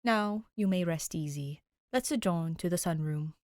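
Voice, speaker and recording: A woman performs a posh English butler in an audiobook story. He is unflappable and long-suffering, speaking formally and deadpan in a fairly low voice, recorded dry. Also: moderate pace, dry recording, lightly acted. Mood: calm, resigned. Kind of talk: audiobook character voice, fan fiction reading.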